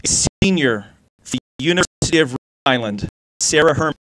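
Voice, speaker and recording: A young man at an outdoor podium microphone, reading out award winners in a steady, formal way. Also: fairly high pitch, brisk, dry recording, rough recording. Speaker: awards presenter. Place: outdoor stage by a river.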